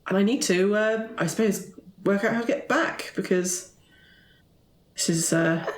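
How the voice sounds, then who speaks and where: A woman's voice: medium pitch, measured pace, roomy sound, natural voice. A woman playing a well-mannered, flustered but self-assured Victorian time traveller in a tabletop game.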